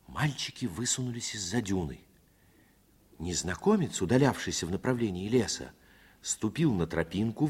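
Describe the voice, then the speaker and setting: A middle-aged man narrating a 1950s radio play in a reflective, measured manner. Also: low-pitched, husky.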